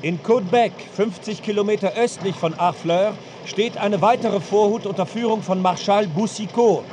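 An actor playing a correspondent giving a formal military report in a radio drama. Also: male voice, sits high, normal speed, natural voice. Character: male correspondent reporting on waiting armies. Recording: faint outdoor noise behind.

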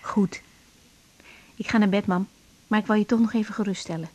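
A woman's voice: mid-range voice, fairly even intonation, brisk, darkish tone, natural voice. An actress playing a troubled young woman doctor, anxious yet affectionate, in an intimate, naturalistic 1980s radio drama. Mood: concerned, reassuring. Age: young adult. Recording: 1980s radio drama, faint background noise.

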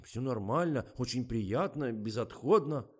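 An earnest production assistant, acted by a male narrator in a comic story. He speaks in a raised, lively pitch and explains everything in a fussy, methodical, emphatic way. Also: measured pace, husky.

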